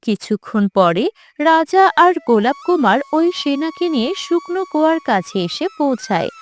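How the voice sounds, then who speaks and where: A woman's voice: high voice, animated, moderate pace, faint music behind. A woman narrator reading a scripted fairy tale for a cartoon.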